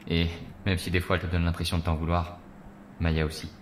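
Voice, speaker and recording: An actor playing a gentle, reassuring husband and father with a soothing, considerate manner in an audio drama. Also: sits low, monotone, brisk, a little hiss or hum, close to an everyday voice. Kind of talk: French audio drama acting.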